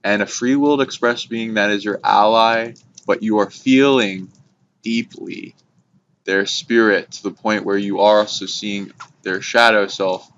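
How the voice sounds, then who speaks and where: A man's voice: lowish voice, fairly even intonation, a bit bright. A man talking casually and hesitantly about spiritual ideas as a host, rambling and swearing now and then. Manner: hesitant, halting, casually profane. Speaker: host explaining esoteric ideas. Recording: muffled, noisy hobby recording with clicks.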